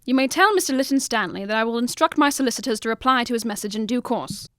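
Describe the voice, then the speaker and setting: A woman's voice: on the high side, fast talker, a bit bright, no echo, clean studio sound, natural voice. A woman playing a retired older detective in an audio drama, commanding yet affectionate, with formal old-fashioned phrasing. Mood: irritated, dismissive.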